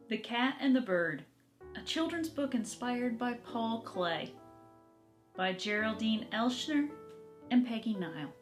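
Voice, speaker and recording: A woman art teacher talking to young children in an expressive, deliberate way, as in a lesson or read-aloud. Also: fairly high pitch.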